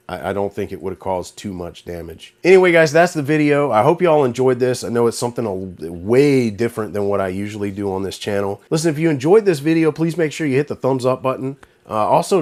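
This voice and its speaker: Man, very low voice, stuffy nose, chatty rambling vlog talk. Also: darkish tone. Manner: rambling, conversational, with fillers and restarts. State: congested, nasal from a cold. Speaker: YouTube host. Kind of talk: casual talking-to-camera commentary.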